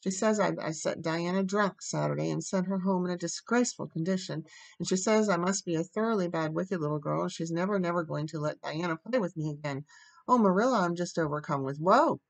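A woman's voice: on the low side, moderate pace, gravelly, low-quality recording. A woman voicing an earnest, dramatic young orphan girl in a storybook, imploring and effusive. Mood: tearful, wailing.

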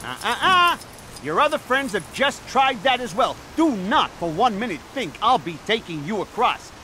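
An actor playing a fussy, exasperated cable car operator in a video game, protesting in an emphatic, whiny way. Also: male voice, sits high, big pitch swings, normal speed, pushed and bright, slight background noise, exaggerated voice. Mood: smug refusal.

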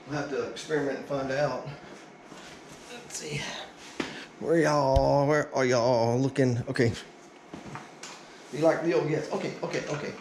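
A man's voice: medium pitch, fairly even intonation, unhurried, pauses often, a little mellow. A middle-aged man gives a casual, folksy hands-on how-to, half muttering to himself as he works. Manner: folksy, informal, muttering to himself while working. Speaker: DIY vlogger. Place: indoor home garage workshop. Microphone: action camera, on tripod or handheld, varying distance. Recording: roomy echo, clear noise, handling clicks.

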